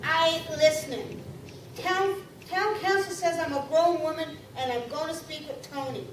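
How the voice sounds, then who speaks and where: A woman's voice: sits high, fairly even intonation, normal speed, close to an everyday voice. An actress playing a defiant young farm woman in a live radio play, blunt with a folksy way of talking. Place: convention hall. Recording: echoey hall, from far off, noisy.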